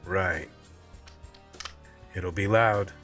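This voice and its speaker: A man acting as a tough action hero telling his story in a radio play. His voice is low and the delivery is gritty and plainspoken, like someone recounting a fight he survived. Music runs underneath the narration. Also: steady tone, drawn-out words, fairly bright tone, far from the mic, full character voice. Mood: terse.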